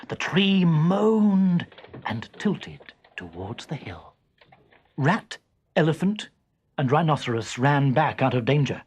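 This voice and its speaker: Male storybook narrator: measured pace, lively pitch, suspenseful delivery that relishes each sound word. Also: breathy edge. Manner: expressive, suspenseful, savouring the sound words. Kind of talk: dramatic children's story narration.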